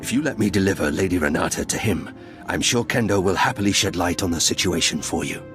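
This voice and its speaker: An actor playing a duke's polite, formal henchman in a video game, speaking in a measured way. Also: deep voice, music in the background, close to an everyday voice. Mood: coolly insistent. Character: smooth-talking, formal henchman of a duke. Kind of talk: video game character acting.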